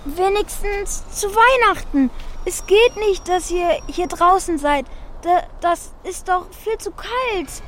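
A woman's voice: very high-pitched, expressive, takes it slowly, a little hiss or hum, full character voice. A woman playing Mika, an earnest, lonely, kind-hearted young child in a German children's radio play. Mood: pleading.

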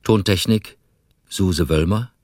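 A male announcer with a very low voice reads scripted radio drama credits. He is formal and unhurried, pacing each item slowly, in a dry studio-like sound. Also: darkish tone, no echo. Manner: measured, formal reading.

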